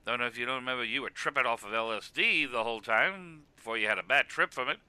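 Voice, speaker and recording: A man's voice: medium pitch, fast, pushed and bright, clear, resonant tone, no room sound. A man acting a heartfelt radio co-commentator in a web cartoon, speaking in an earnest, emphatic, speechifying way. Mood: passionate. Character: loud heartfelt radio co-commentator consoling his rival. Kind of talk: animated web cartoon dialogue.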